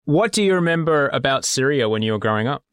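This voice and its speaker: A man's voice: mid-range voice, animated, fast, clean tone, no room sound, clean studio sound. A man hosting an interview, asking questions in an appreciative way. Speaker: podcast host. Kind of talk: interview conversation.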